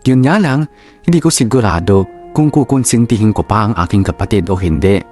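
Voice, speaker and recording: A man with a Filipino accent performing a letter writer's family story as measured, solemn radio drama narration. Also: on the low side, expressive, darkish tone, lightly acted. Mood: solemn, reflective. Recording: music bed under voice.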